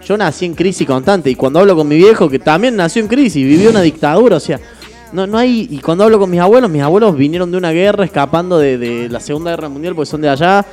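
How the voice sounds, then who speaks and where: A man in his twenties with an Argentine accent, hosting a casual podcast chat with quick jokes. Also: fairly high pitch, soft background music. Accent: Argentine Spanish. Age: 20s. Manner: fast, joking, animated storytelling. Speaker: radio podcast host.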